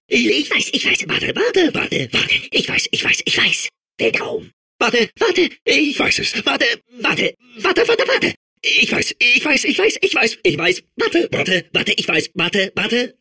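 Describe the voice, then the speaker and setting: A man playing a manic, childlike robot sphere obsessed with outer space in a video game, babbling and repeating himself in a strained, husky voice. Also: extremely high pitch, exaggerated voice. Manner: excitable, babbling, repeating words compulsively. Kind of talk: video game character voice clips.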